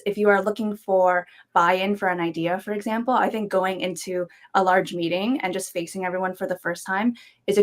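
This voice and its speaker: A woman on a panel, giving measured, step-by-step advice. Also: mid-range voice. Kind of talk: panel discussion.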